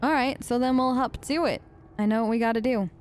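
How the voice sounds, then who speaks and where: A woman's voice: on the high side, fairly quick. A young woman streaming a role-play playthrough, chatty and playful, answering the game characters in her own voice. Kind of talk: casual role-play gameplay commentary.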